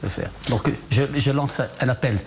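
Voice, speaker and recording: A man's voice: medium pitch, moderate pace, a little hiss or hum. A man from Morocco, a novelist, answering questions in a TV news studio, hesitant with many fillers and restarts. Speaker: novelist guest. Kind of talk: TV news interview.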